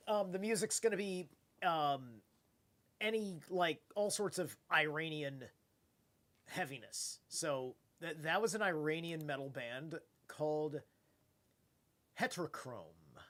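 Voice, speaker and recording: Slow, stuffy-nosed man rambling on stream. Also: on the high side, expressive. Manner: rambling and loose, with casual swearing. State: stuffy, congested nose; tired. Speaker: live streamer. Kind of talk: casual late-night stream chat.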